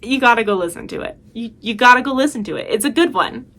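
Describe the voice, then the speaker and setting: A woman's voice: a little high, expressive, fast, a bit bright. A woman talking casually as a video host, giving chatty, emphatic and enthusiastic recommendations.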